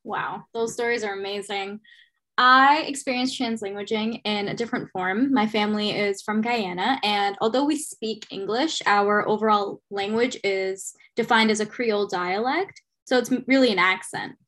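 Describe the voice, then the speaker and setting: A woman's voice: medium pitch, moderate pace, fairly bright tone. A young woman, an education student, leading the podcast discussion in an encouraging way. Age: young adult.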